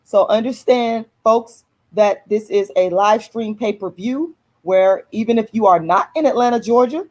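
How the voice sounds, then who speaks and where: Hoarse woman hyping her livestream. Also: on the high side, unhurried, few pauses, a little mellow. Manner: repetitive, promotional, addresses viewers as y'all. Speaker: activist host of her own channel. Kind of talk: casual livestream announcement.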